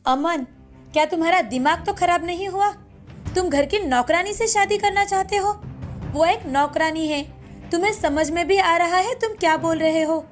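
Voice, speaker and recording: A woman's voice: extremely high pitch, moderate pace. A woman voicing a doting, class-proud wealthy mother of a grown son in a cartoon story, with an emotional, exclaiming manner. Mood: shocked, disapproving. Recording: music bed behind the voice.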